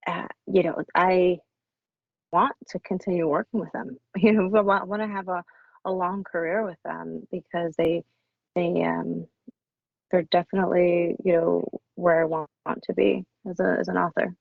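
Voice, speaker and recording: A woman speaking as an author guest in a casual interview, effusive and often halting and restarting her sentences. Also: fairly low pitch, flat delivery, creaky, dry recording. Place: small cabin in the woods. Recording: dull lo-fi audio.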